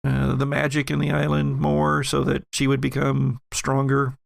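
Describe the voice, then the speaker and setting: A man running a tabletop game, narrating off the cuff in halting phrases. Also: mid-range voice, completely flat delivery, gravelly, no room sound. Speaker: game master.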